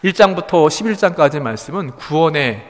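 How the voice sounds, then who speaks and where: A male pastor delivers an explanatory sermon in a fairly high voice that rises and falls widely. His phrasing is formal and deliberate, stressing key words. The sound carries a long reverb, as in a large hall. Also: animated.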